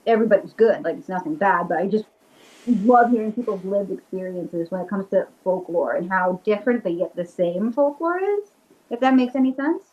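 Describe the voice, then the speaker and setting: A woman's voice: mid-range voice, animated, a little fast, muffled recording, a little hiss or hum. A woman with a Rhode Island accent, a guest chatting eagerly and casually, full of "like" fillers. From Rhode Island, USA. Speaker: guest, witch and paranormal investigator. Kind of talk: casual video-call interview chat.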